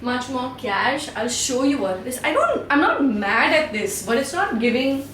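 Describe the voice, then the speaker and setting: A woman's voice: sits high, very animated, a little slow, a bit bright, roomy sound, slight background noise. A woman in her thirties with an Indian English accent, chatting candidly to camera in a home vlog, swearing now and then and mixing in Hindi. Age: 30s. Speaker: vlogger. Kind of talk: casual chat to camera. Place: room at home, indoors.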